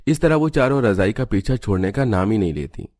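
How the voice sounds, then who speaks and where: Male storyteller for animated family tale, lowish voice, scripted narration. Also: a little fast, dry recording, clean studio sound.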